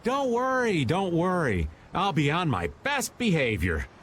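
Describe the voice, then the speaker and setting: An actor playing a cheeky, shifty, easygoing old rogue in a video game, with folksy, joking, slangy talk. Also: male voice, fairly high pitch, huge pitch swings, measured pace, fairly bright tone, slight background noise, heavily acted. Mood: teasing.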